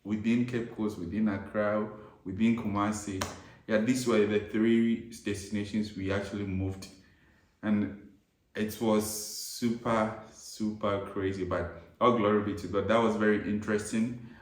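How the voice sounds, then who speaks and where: A man's voice: fairly low pitch, level tone, a little slow, reverberant. A man born and raised in Ghana, chatting casually with his wife as co-host of their travel vlog, rambling with restarts and laughing. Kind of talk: casual couple chat.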